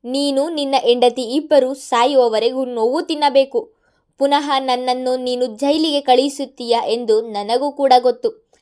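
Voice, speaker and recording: A woman voicing a gloating, vengeful middle-aged man who taunts loudly over the phone. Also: high voice, steady tone, unhurried. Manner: taunting, sneering, loud.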